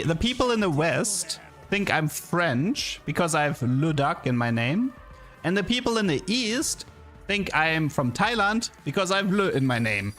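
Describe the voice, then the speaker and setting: Higher-pitched German-accented male streamer. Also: a bit bright. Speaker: game streamer. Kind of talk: casual livestream chat with viewers. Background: music.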